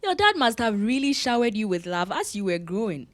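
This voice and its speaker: A woman playing a sassy, loving young lawyer in an audio drama, teasing and expressive. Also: medium pitch, a little fast, dry recording. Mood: warm, teasing.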